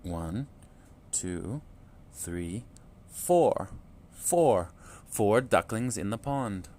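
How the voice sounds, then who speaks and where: A man reading a picture book aloud to children in a slow, clear, expressive storytelling manner. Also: fairly low pitch.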